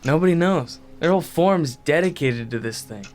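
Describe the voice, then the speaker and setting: A man's voice: on the high side, expressive, moderate pace, darkish tone, lightly acted. Man playing a slangy laid-back young stoner. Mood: eager explaining. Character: stoner young male roommate, excitedly sharing an eerie livestream. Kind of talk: audio drama dialogue.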